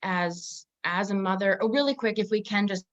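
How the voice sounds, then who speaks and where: A woman hosting a conversational Bible discussion, explaining in halting phrases and often correcting herself as she goes. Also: medium pitch. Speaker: host of a Bible discussion podcast. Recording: muffled, dull lo-fi audio.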